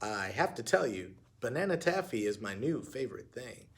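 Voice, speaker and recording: A man's voice: mid-range voice, very animated, moderate pace, voice pushed down. A man voicing a small male talking dachshund who is easily panicked, in a storybook reading. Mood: matter-of-fact.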